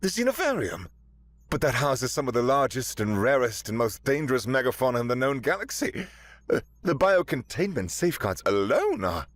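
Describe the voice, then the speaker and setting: A man's voice: medium pitch, big pitch swings, pitched above the performer's own voice, lightly acted. A man putting on an eager woman scientist. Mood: excited. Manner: eager, rushing. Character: excitable young woman scientist.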